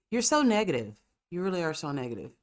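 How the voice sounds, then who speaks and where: A woman hosts a casual podcast while stuffed up with a cold. She tells stories with sassy, profane humor and laughs often. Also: low voice. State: congested, coughing. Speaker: podcast host. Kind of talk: casual chat.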